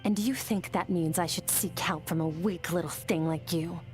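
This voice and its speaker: Low, cold warrior woman, acted. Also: fairly even intonation, a little mellow, soft background music, natural voice. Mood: dismissive. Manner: stern, detached, measured. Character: cold, stoic warrior woman who shuns all feeling. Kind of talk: scripted video game voice acting.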